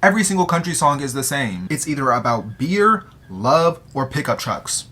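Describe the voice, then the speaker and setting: A man's voice: mid-range voice, big pitch swings, normal speed, bright, forceful tone. A 19-year-old man with an American accent chatting casually and playfully to his audience in a slangy, joking way. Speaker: vlogger answering audience questions. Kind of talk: casual chat to camera.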